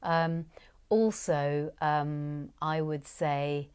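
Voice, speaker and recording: Woman in her fifties, low voice, chatty, self-deprecating, studio interview. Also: level tone, extremely slow, a little mellow, smooth. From England. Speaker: audiobook narrator, guest. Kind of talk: relaxed interview chat. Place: recording studio.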